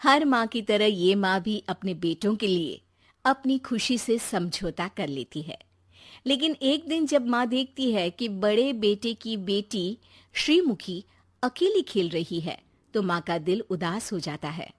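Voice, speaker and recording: Woman storyteller, middle-pitched, for scripted moral tale narration. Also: expressive, measured pace.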